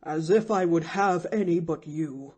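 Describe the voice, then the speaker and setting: A woman's voice: takes it slowly, husky, full character voice. A woman doing a lonely, hurt older man. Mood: grave hurt. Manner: low and grave, sinking to a hushed murmur. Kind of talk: audiobook character voice.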